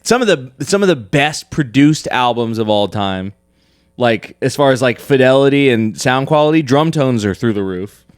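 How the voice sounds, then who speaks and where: Rambling young man, animated pitch. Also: moderate pace, clean tone, no room sound, studio quality. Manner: laughs often, loose and rambling. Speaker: podcast co-host. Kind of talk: casual banter.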